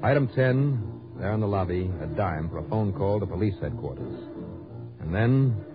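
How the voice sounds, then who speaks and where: An actor playing a tough, sardonic American private investigator in a radio drama, with a punchy, wry delivery. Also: male voice, low voice, expressive, moderate pace. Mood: narrating, wry. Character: tough, sardonic male private investigator narrating his case. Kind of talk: 1950s-60s radio detective drama acting, first-person narration. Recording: dull vintage radio recording with music.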